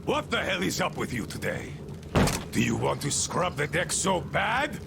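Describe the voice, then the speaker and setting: An actor playing a bitter, commanding, eccentric old boatman in a video game, with a gravelly voice and a theatrical, grumbling way of rambling. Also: fairly high pitch, very animated, moderate pace, very noisy, heavily acted. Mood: scolding. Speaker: game character, leader of a small river crew. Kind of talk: dramatic video game monologue.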